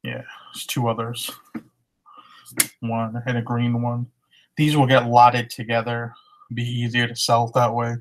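A man in his thirties casually showing his thrift finds at home, in a relaxed, matter-of-fact way. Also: mid-range voice, a little slow, pauses often, a little hiss or hum, low-quality recording. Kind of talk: casual haul vlog. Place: living room at home. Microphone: webcam at desk.

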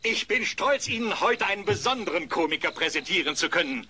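An actor playing a showy television comedy host announcing an act in an audio play. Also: male voice, high voice, expressive, brisk, bright, forceful tone, heavily acted. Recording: clearly noisy recording.

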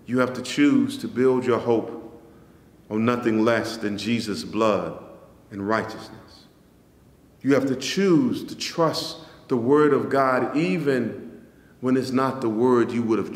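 A pastor in his forties preaching a sermon in a church sanctuary, measured and rising on key phrases, then dropping soft at the ends of lines. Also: mid-range voice. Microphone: stand mic in front, camera at a distance. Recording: echoey church hall.

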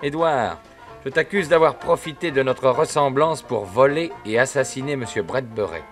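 A man's voice: mid-range voice, normal speed, fairly bright tone, faint music behind, close to an everyday voice. An actor playing a brash, defiant man of the West in an old French radio serial. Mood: determined, accusing.

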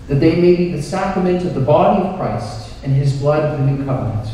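A man's voice: on the high side, dark tone. Solemn man praying in an echoing church. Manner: solemn, measured, formal. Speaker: Anglican priest. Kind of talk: liturgical prayer recitation. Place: inside a church at the altar. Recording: echoey church hall, distant, faint noise.

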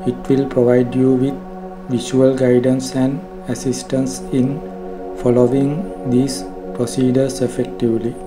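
Male narrator for a tech how-to: formal, measured, very flat intonation, clear music bed underneath. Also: mid-range voice, poor audio. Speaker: tutorial narrator. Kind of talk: scripted instructional narration. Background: background music.

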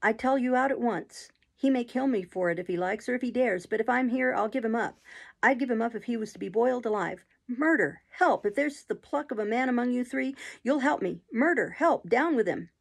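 A woman voicing a terrified but defiant young boy from a storybook, shouting excitedly for help. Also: on the high side, brisk, creaky, husky, voice pushed up. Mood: defiant, frantic.

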